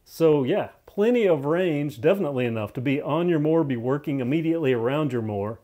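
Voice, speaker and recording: A man's voice: on the high side, animated, fast, warm tone. A man giving a casual, unscripted product review, thinking aloud and repeating phrases for emphasis in a conversational way.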